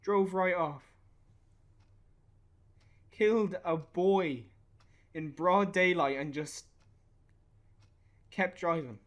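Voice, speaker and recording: An actor with an Irish accent playing a grieving, bitter young father in a naturalistic radio play, halting and sweary. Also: sits high. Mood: bitter anger. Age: young adult.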